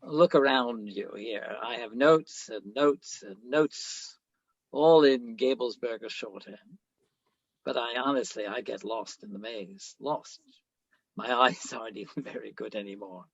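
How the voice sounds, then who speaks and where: An actor playing an older, self-absorbed philosophy professor in a radio play, lecturing pompously and haltingly. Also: male voice, medium pitch, gravelly, husky, dry recording, lo-fi sound. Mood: weary, lost.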